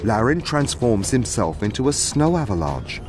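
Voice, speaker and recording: A man's voice: medium pitch, sing-song, moderate pace, loud music behind the voice. A man narrating a scripted fantasy story in a dramatic storytelling style.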